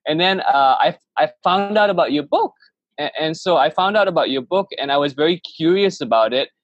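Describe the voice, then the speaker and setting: A man in his thirties from Malaysia, chatting as a podcast guest. His voice sits a bit high and the sound is dull and lo-fi. He rambles eagerly and restarts often. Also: lively intonation, a little fast, dry recording. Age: 30s. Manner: rambling, enthusiastic, many restarts. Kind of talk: casual interview chat.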